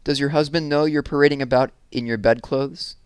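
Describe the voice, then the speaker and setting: A man's voice: mid-range voice, fairly even intonation, brisk, clear, resonant tone, no echo, studio quality, pitched above the performer's own voice, close to an everyday voice. A man voicing a gossipy small-town woman in a storybook, mock-sweet and needling. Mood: snide.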